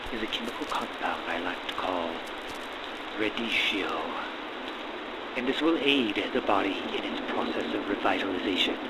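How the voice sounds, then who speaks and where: A man's voice: on the low side, very noisy. A man playing a manic, grandiose mad scientist obsessed with creating life, declaiming theatrically in a gothic horror audio roleplay. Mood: eager, intent. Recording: loud rain and thunderstorm ambience behind. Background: thunderstorm and rain.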